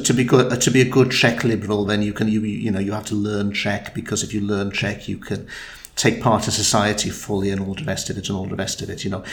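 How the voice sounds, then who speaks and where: Thoughtful man, halting speech. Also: on the low side. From Wales. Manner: thoughtful, halting, many restarts and fillers. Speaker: interviewee. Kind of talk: conversational political discussion.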